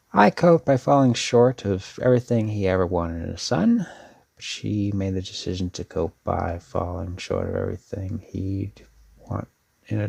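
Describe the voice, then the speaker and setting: Guy playing a protective brother in an asmr roleplay, deep creaky voice, sincere n kinda halting, takes his time. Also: full character voice. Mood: wry, resigned. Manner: hesitant, earnest, with trailing pauses. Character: protective older brother, a laid-back but sincere young man. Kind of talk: ASMR audio roleplay, intimate one-sided dialogue.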